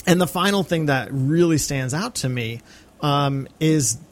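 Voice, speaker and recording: An American man co-hosting a podcast, talking casually and reflectively about film, effusive and often halting to repeat phrases. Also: fairly high pitch, animated. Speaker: podcast co-host.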